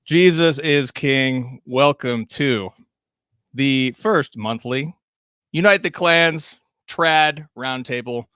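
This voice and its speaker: Man, roundtable host, wry sarcastic asides, bright tone, dry close sound. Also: medium pitch, slow pace, smooth, dry recording. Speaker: host and editor. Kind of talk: introducing a roundtable panel.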